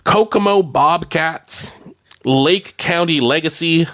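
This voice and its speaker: A man's voice: on the high side, slow pace, a bit bright. A man co-hosting casual radio chat, reading out listings in a jokey, chuckly way. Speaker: radio show co-host.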